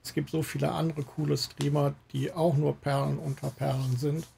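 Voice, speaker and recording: Man, gravelly fry, mellow tone, casual livestream host, gaming chat. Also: a little high, flat delivery, moderate pace. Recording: faint background noise.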